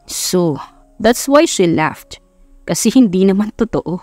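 A woman's voice: medium pitch, expressive, slow pace, dark tone, no room sound, faint music behind. Woman acting a curt Filipino billionaire boss. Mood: puzzled. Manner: curt, commanding, switches languages. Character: proud, demanding young billionaire boss, male, easily baffled.

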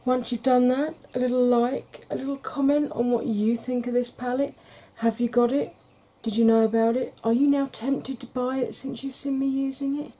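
Soft-spoken woman, mellow husky voice. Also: sits high, little pitch movement, moderate pace, few pauses. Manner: gentle, rambling, chatty. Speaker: beauty YouTuber. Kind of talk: soft-spoken ASMR makeup chat. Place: small home room with a desk fan.

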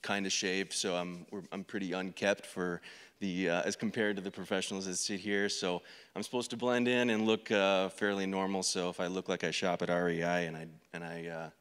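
An American man giving an informal career talk as an agent, halting and hesitant, speaking into a handheld microphone on a stage. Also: deep voice, little pitch movement, a bit bright, clean tone. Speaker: drug enforcement agent, panel speaker. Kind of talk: informal career presentation to an audience. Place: stage of an auditorium. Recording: reverberant hall sound.

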